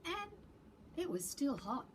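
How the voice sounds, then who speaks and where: Animated high-pitched woman reading to children. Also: noisy background. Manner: theatrical, deliberate, addresses small children directly. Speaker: school librarian reading to young children. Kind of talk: expressive picture-book read-aloud.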